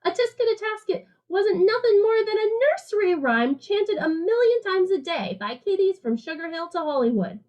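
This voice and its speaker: A woman's voice: extremely high pitch, big pitch swings, moderate pace, few pauses, muffled recording, voice pushed up. A woman voicing a streetwise, jazz-loving alley cat who narrates a picture book in rhythmic, playful slang. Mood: swinging, enthusiastic. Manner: slangy, jive-talking, rhythmic, playful emphasis.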